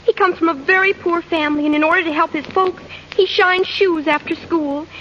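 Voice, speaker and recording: A woman's voice: very high voice, fairly even intonation, brisk. An American woman playing an earnest, bashful teenage girl in a gushing, naive, girlish manner for a 1940s radio sitcom. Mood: earnest, pleading. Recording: dull old radio recording, faint noise, audience.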